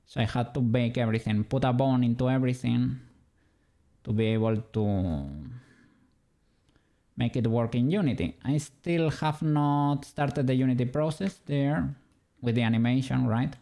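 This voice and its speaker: A man's voice: medium pitch, normal speed, creaky, mellow. A man from Spain with a Spanish accent, chatting casually with his stream viewers while he works on an animation, musing aloud and laughing lightly. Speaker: streamer and 3D rigger. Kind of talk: casual livestream chat while working.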